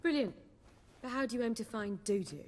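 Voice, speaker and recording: An actress playing a poised young bard in a video game, speaking articulately with wry, theatrical phrasing. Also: female voice, fairly high pitch, expressive, brisk, darkish tone, lightly acted. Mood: curious. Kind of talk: video game cutscene dialogue. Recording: long hall-like reverb.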